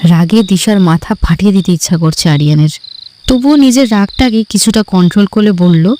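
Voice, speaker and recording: A woman's voice: medium pitch, brisk, dark tone, a little hiss or hum. A woman reading scripted narration for an audio love story.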